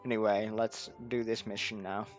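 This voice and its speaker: A man's voice: lowish voice, flat delivery, moderate pace. A man doing casual gameplay commentary, thinking aloud hesitantly as he goes. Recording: dull, lo-fi mic over game music.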